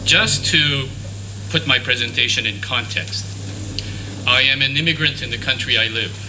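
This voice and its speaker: French-native man giving an academic lecture. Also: mid-range voice. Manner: measured, formal, with pauses and restarts. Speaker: conference speaker. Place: university lecture hall. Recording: noisy conference room recording.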